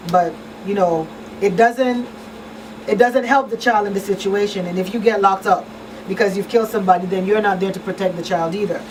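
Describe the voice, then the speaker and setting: A middle-aged woman hosts a talk show in an earnest, conversational way, repeating phrases to drive them home. Her voice sits at an average pitch. She sounds a little away from the microphone, with faint room noise behind her. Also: a little fast, a little mellow, recorded from a distance, low-quality recording. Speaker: radio talk show host. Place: small radio studio. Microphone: boom-arm studio microphone.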